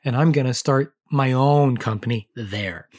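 A man putting on a croaky, frog-like voice to play a boastful fur-trade boss in a podcast retelling. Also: medium pitch, a little slow, darkish tone, lightly acted.

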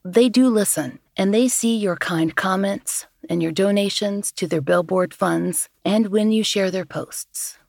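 A woman with a deeper, lower voice, hosting a podcast and giving calm, measured scripted narration. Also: gravelly, no echo, studio quality. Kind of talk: scripted true-crime narration.

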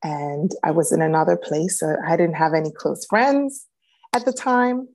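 A woman's voice: deep voice, normal speed. A woman from Jamaica with a light Jamaican accent, telling her life story as an interview guest, reflective and full of "um"s. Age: adult, a grown child. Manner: reflective, many "um"s, warm laughs. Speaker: guest, reboot coach.

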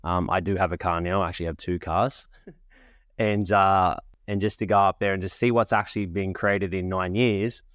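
A man's voice: low voice, fairly even intonation, normal speed, a bit bright, dry recording, studio quality. A man with a cold and a cough, hosting a podcast and talking in a rambling, conversational way. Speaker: podcast host. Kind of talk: casual monologue.

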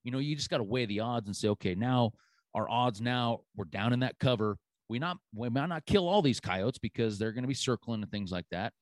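A man's voice: mid-range voice, lively intonation, brisk, dry recording. Easygoing male podcast host, dry sound. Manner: easygoing, lots of fillers like "you know". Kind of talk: casual hunting chat.

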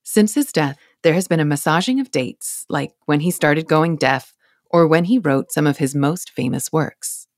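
A woman hosting a podcast, narrating a scripted story in a clear, measured way. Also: deep voice, no echo, studio quality. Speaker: podcast host.

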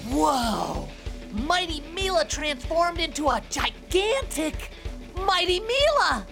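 A woman plays a young boy superhero in a cartoon adventure. The voice is high and boyish, with an eager, earnest delivery. There is faint music behind it. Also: expressive, moderate pace. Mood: cheering. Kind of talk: acted children's adventure story.

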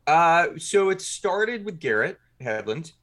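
A man's voice: on the high side, very animated, takes it slowly, fairly bright tone. A man being interviewed as a podcast writer and producer, talking casually and colloquially in short bursts.

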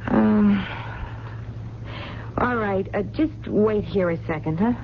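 A working-class woman of the late-night diner, quick with blunt, ungrammatical advice and kind underneath. She is heard in an old radio drama with a muffled band and faint noise. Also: medium pitch. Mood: puzzled. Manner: streetwise, colloquial, ungrammatical. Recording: dull, noisy vintage radio broadcast.

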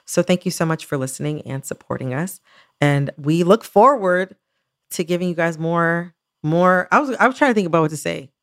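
An American woman with a noticeably creaky voice co-hosts a casual podcast. She talks in a candid, self-aware, wry way, as in a relaxed conversation between colleagues. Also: lowish voice, moderate pace. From United States. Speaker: podcast co-host.

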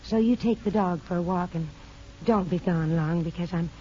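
An actress playing a gentle, loving mother posing as a governess in a 1950s radio drama. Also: lowish voice, normal speed, close to an everyday voice. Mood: gentle, hesitant. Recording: dull, hissy old radio recording.

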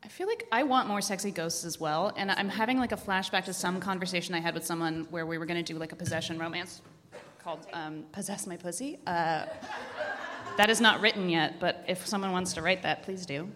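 A woman's voice: lowish voice, brisk, a bit bright. A woman chatting casually as a co-host on a live podcast panel. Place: large room with a live audience, indoors. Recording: echoey hall with long reverb.

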